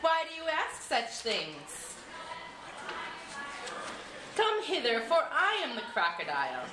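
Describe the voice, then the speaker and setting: A woman's voice: high-pitched, wildly swooping pitch, slow pace, echoey room, noisy background, exaggerated voice. A woman voicing a sly storybook crocodile that coaxes and menaces its small prey. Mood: coaxing.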